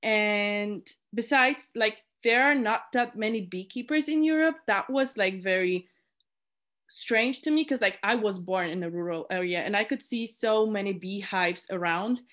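A woman's voice: medium pitch, measured pace, a bit bright. A young woman from Poland, a native Polish speaker, chatting casually as a committee chair in an interview and filling her talk with "like" and restarts. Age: young adult. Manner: chatty, many "like" fillers and restarts.

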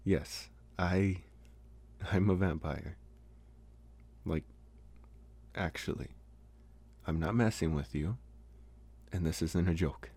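A man playing a smitten young college vampire in an intimate, hesitant, soft-spoken ASMR roleplay. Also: deep voice, breathy edge, full character voice. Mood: earnest, matter-of-fact. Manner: hesitant, soft-spoken, slow with long pauses. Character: young male college vampire, smitten, calm, gently possessive. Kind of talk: ASMR roleplay, intimate one-sided dialogue.

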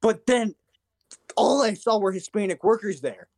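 A man's voice: high-pitched, lively intonation, moderate pace, darkish tone, dry recording, studio quality. A man from Serbia chatting casually and jokingly as a podcast co-host, with crude, sweary talk. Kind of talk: casual joking group chat.

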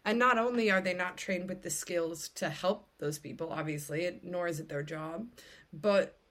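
Low-voiced, candid woman podcast host. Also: moderate pace, a bit bright. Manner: candid, informal, emphatic. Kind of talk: casual conversational interview.

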